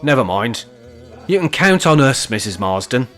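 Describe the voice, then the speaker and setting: A man's voice: medium pitch, very animated, moderate pace, fairly bright tone. A man playing a wry, scheming older care home resident with an English accent and jokey, mock-grand phrasing in an amateur radio play. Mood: feigned innocence.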